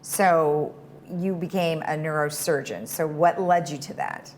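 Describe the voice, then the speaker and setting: A woman's voice: deep voice, a little slow, a little mellow, slight background noise. Upbeat woman hosting an interview. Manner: upbeat and conversational questioning. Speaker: host. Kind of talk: casual interview talk.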